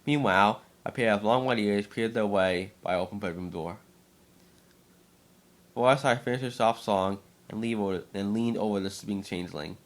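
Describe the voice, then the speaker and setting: Lowish-voiced man, amateur story reader. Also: moderate pace. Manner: stumbles over words. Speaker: narrator. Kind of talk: dramatic story reading by an amateur.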